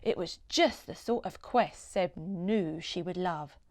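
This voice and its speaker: A woman narrating a children's storybook in an expressive, dramatic read-aloud style with deliberate phrasing. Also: fairly low pitch, no room sound, clean studio sound.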